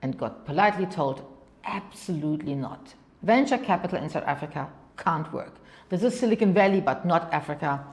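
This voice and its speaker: A woman's voice: on the low side, animated, brisk, a little mellow. A middle-aged woman with a German accent, telling her career story as an interview guest, often falling back on "kind of go and" and repeating words as she goes. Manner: talks in long anecdotes, often says "kind of go and", repeats words. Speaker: venture capital partner, guest.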